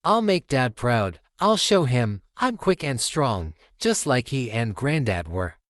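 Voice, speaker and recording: A man voicing an eager, excitable young boy cat in a children's storybook, with an earnest, exclamatory manner. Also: mid-range voice, slow pace, a little hiss or hum. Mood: eager, determined. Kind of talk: children's storybook read-aloud.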